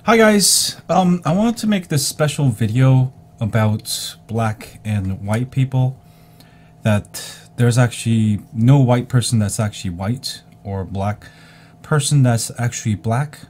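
A man's voice: mid-range voice, expressive, takes it slowly, darkish tone, slight background noise. A young man from South Korea, talking casually at his desk while sharing his screen, rambling with lots of fillers as he demonstrates. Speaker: vlogger. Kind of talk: casual explainer with screen share. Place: small indoor room at a desk.

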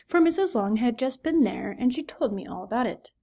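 A woman reading aloud, voicing a fussy, excitable middle-aged mother who exclaims and insists in a raised voice. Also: fairly high pitch, a little fast, gravelly. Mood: insistent.

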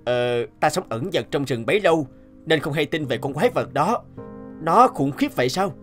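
A man voicing a brave, gentle young hunter in an animated fairy tale, earnest and protective. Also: a little high, moderate pace, natural voice. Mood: caring, earnest. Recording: music behind the voice.

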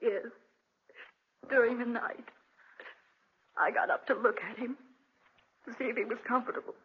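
An actress playing a frail, devoted, sorrowful wife, tender and halting, in 1940s radio drama style. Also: female voice, a little high, steady tone, breathy edge. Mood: grieving. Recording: muffled, noisy 1940s radio recording.

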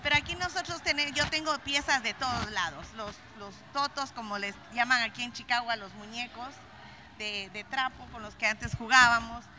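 A woman's voice: a little high, normal speed, background noise. A middle-aged woman from Guatemala, a craft vendor, speaks nervously with many fillers and repeats into a handheld mic at an indoor event. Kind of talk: interview.

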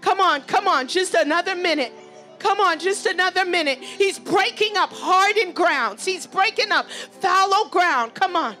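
A woman's voice: very high voice, a little slow, bright, forceful tone, faint music behind. A woman preacher leading fervent charismatic prayer, urgent and pleading, exhorting with repeated phrases.

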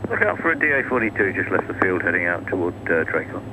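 A man's voice: deep voice, big pitch swings, fast. A man working as an airfield radio operator, speaking in procedural phrases over aviation radio. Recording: dull radio transmission under engine noise.

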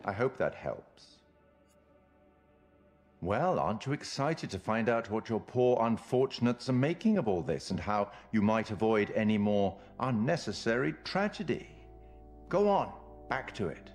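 An actor playing an enigmatic, sardonic curator of grim stories, speaking formally and wryly in a theatrical monologue. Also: male voice, fairly low pitch, sing-song, darkish tone, soft background music. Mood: teasing, ominous. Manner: formal, wry, deliberate. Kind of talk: theatrical scripted monologue to the viewer.

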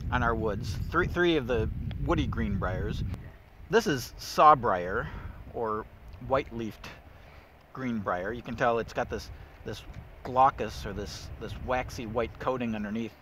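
Middle-aged male nature host, eager, chatty, mid pitch, light outdoor ambience. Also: lively intonation, a little slow, a bit bright, a little hiss or hum. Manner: enthusiastic, thinks aloud with fillers. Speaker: woodland steward host. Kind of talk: casual nature walk guide. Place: winter woods outdoors.

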